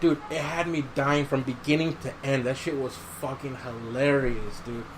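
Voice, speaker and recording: A man's voice: mid-range voice, fairly even intonation, moderate pace, a little hiss or hum. A man hosting a podcast in casual chat, swearing freely and giving his opinions in slangy talk. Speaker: podcast host.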